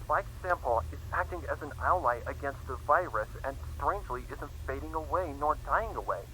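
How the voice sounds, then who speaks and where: A man voicing a bright, earnest pony scientist, noisy recording. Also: a little high, expressive, fairly quick. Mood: focused, amazed. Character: studious female pony scientist recording experiment logs. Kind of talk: dramatic fan fiction audio narration.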